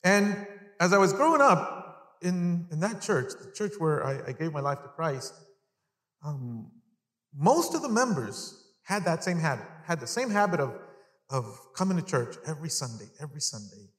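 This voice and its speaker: A man's voice: fairly high pitch, sing-song, moderate pace, a bit bright. Middle-aged male preacher in an echoey hall. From Long Island, New York, United States (born in El Salvador). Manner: conversational, repeats phrases for emphasis, asks the audience questions. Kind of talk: sermon to a live congregation. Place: large indoor church hall, speaking to an audience. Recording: echoey hall with long reverb.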